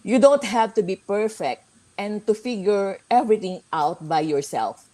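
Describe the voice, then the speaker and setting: A woman speaking as a guest on a livestream, giving a motivational talk in an earnest, halting manner and repeating her points. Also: medium pitch, mellow.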